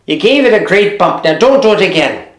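A storyteller voicing an elderly mother in a wheelchair, high-pitched and fussy. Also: big pitch swings, brisk, natural voice. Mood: indignant. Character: frail old Irish mother in a wheelchair. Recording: roomy echo.

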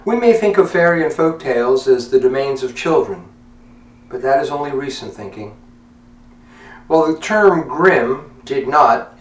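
A man with a mid-pitched voice hosts a storytelling podcast. His delivery is reflective and deliberate, with measured phrasing. He sounds set back from the microphone in a room with a strong echo. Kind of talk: reflective podcast talk with read-aloud essay. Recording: echoey room, distant from mic.

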